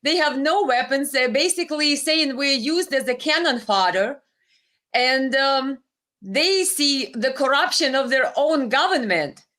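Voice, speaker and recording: A woman's voice: high voice, expressive, unhurried, bright, forceful tone. A woman with a Ukrainian accent, hosting a political interview and talking in an emphatic, opinionated way. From Soviet Ukraine. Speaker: interview host.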